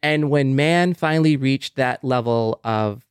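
A man's voice: medium pitch, unhurried, clear, resonant tone, no echo, studio quality. A man co-hosting a discussion podcast, talking in an earnest, explanatory, conversational way. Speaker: podcast co-host. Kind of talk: conversational discussion with scripted sign-off.

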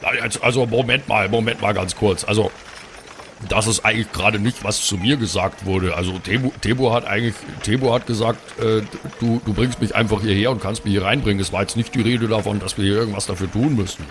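Guy playing a nice polite adventurer, kinda low voice, hesitant and wordy, slight noise. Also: fairly even intonation, a little fast, fairly bright tone, natural voice. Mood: hesitant, protesting. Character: polite, earnest male adventurer, hesitant negotiator.

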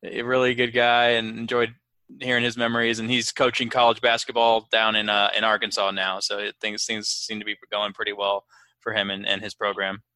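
Bright male voice, dry sound, podcast host, casual conversational interviewing. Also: on the low side, flat delivery, a little fast, smooth, no room sound.

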